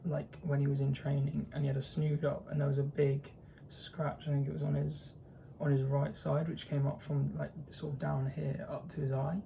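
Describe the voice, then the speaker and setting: A man's voice: a little high, monotone, moderate pace, creaky, dark tone, slight background noise, poor audio. A young man with a posh southern English accent hosting his own football channel, talking casually and hesitantly with lots of fillers and restarts. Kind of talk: casual solo commentary.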